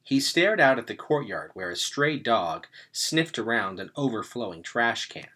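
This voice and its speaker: Animated man reading a storybook aloud. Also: medium pitch, normal speed. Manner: animated, dramatic emphasis. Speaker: read-aloud reader. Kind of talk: expressive storybook read-aloud narration.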